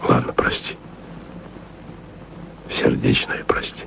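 An actor playing a wistful radio archivist who speaks softly and intimately to his beloved in a radio play. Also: takes it slowly, a little hiss or hum. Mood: tender. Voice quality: low, gravelly voice. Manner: soft, intimate, sometimes whispered. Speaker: radio man speaking to his distant lover.

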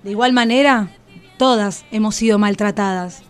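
A woman's voice: mid-range voice, moderate pace, clear, resonant tone, faint music behind, close to an everyday voice. An actress playing a wounded, bitter and defiant enslaved everywoman, reciting emphatically in poetic radio theatre. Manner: solemn, emphatic poetic listing.

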